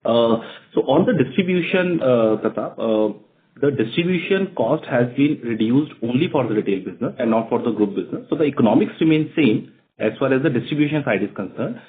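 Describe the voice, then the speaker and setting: Man, Indian accent, medium pitch, explanatory executive answers, narrow telephone sound. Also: lively intonation, brisk. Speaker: company executive answering analyst questions. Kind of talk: earnings call answers. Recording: narrow phone conference line.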